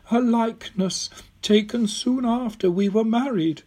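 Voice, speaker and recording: A narrator voicing a little old Victorian woman in an audiobook, insistent, tender and delighted, with drawn-out phrases. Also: medium pitch, darkish tone, pitched above the performer's own voice, close to an everyday voice. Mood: patient. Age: older. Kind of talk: audiobook character voice.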